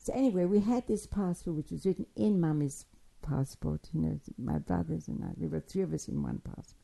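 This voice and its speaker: An older woman telling her life story in a halting, digressive way, with frequent restarts and fillers. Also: low voice, vocal fry, dark tone. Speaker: interviewee recounting childhood. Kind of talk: spoken memoir, oral history.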